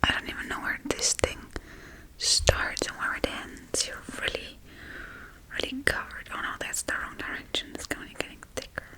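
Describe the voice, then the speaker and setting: A woman voicing a spooky, gently teasing witch in a soft-spoken ASMR role-play, murmuring in a breathy whisper. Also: natural voice, low-quality recording. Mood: fussing, muttering. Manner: murmuring, unhurried, muttering to herself. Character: spooky Halloween witch, softly curious, gently teasing, apologetic.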